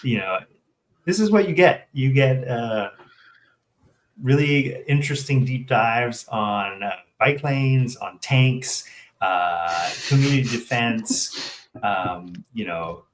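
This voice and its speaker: A man's voice: mid-range voice, lively intonation, takes it slowly, echoey room. A man co-hosting a casual local discussion show, talking reflectively and often checking in with "right?". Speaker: co-host of a local civic affairs show.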